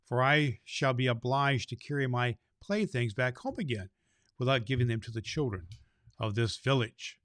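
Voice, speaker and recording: A man's voice: mid-range voice, normal speed, a bit bright, no echo, close to an everyday voice. Guy reading as a kind earnest toy-bringer from a storybook, formal wording, dry sound. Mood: disappointed. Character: Claus, good-hearted male toy-bringer in a storybook.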